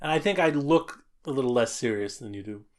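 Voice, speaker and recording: A man co-hosting a podcast. He reads a listener letter aloud, then chats casually in a loud, jolly way, often stammering and restarting. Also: medium pitch, lively intonation, brisk. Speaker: podcast co-host.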